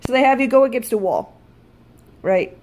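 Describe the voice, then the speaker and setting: A woman's voice: a little high, expressive, moderate pace, a little mellow. Woman vlogging casually over noticeable noise. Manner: blunt, casually profane. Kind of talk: casual personal storytelling vlog.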